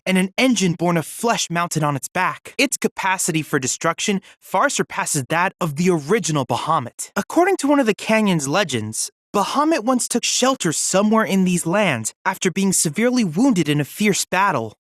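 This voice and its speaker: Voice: medium pitch, very animated, moderate pace, hardly any pauses, fairly bright tone, no room sound, clean studio sound. An actor playing a polite, scholarly teenage boy android research assistant in a video game, speaking in a formal, precise, courteous way. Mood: scholarly, storytelling. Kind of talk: scripted video game character dialogue.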